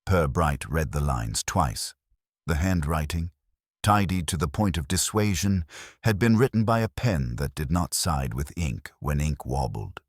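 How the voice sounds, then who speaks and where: Male narrator for wry literary mystery: extremely low voice, dry close recording, measured scripted delivery. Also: dry recording. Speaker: audiobook narrator.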